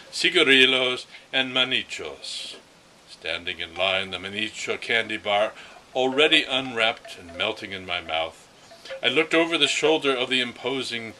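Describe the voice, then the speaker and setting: Very bright-voiced man reading a story aloud. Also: lowish voice, slight background noise, low-quality recording. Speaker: reader of a story. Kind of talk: read-aloud first-person literary narration.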